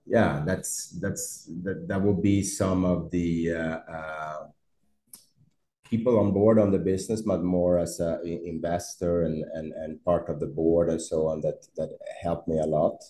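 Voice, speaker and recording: Deep-voiced Swedish man, hesitant. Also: fairly even intonation, unhurried, warm tone, roomy sound, a little hiss or hum. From Sweden. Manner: hesitant, many fillers and restarts, laughs easily. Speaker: startup CEO guest. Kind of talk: podcast interview conversation.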